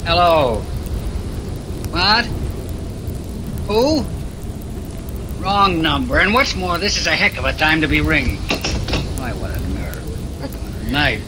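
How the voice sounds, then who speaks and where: An actor playing an exasperated, wisecracking American husband in a 1940s radio mystery, with a sardonic, grumbling, slangy delivery. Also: male voice, on the high side, sing-song, slow pace, pauses often. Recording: noisy 1940s radio recording, rain ambience. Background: rain and wind ambience.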